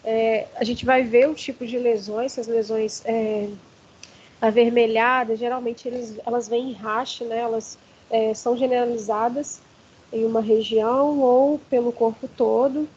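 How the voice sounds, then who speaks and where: Woman, Brazilian accent, hoarse, halting lecture, slightly high voice, muffled with faint hiss. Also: fairly even intonation, a little mellow. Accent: Brazilian Portuguese. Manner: halting, many fillers and restarts. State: hoarse from allergy. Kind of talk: online lecture.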